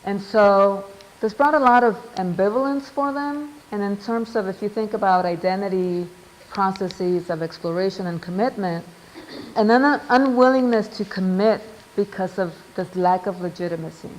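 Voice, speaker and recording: Woman, middle-aged, academic seminar speaker, measured, thoughtful, frequent pauses. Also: mid-range voice, slight background noise, rough recording. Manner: measured, reads out quotes, frequent ums and "right?". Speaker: researcher giving a seminar talk. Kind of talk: academic lecture.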